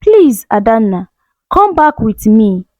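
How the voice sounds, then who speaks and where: A woman's voice: fairly high pitch, sing-song, slow, mellow, no echo, full character voice. A woman voicing a jilted, obsessive young man in a dramatic, insistent way for a story. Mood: pleading.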